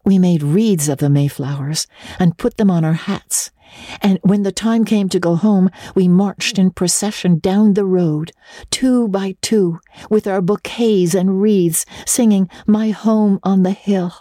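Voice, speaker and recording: A woman voicing a dreamy, talkative schoolgirl in a storybook, with earnest, dramatic and breathy emphasis. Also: mid-range voice, unhurried, dark tone, no echo, clean studio sound, pitched above the performer's own voice, lightly acted. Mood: thrilled. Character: dreamy, talkative, romantic schoolgirl with vivid imagination. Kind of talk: dramatic audiobook reading of classic novel.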